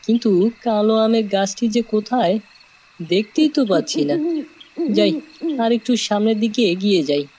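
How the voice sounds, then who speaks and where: A woman's voice: mid-range voice, moderate pace, long pauses, dark tone, close to an everyday voice. A woman playing a bold, curious village child in a cartoon, eager and scoffing at warnings. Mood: eager, searching. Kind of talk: animated moral-tale cartoon acting.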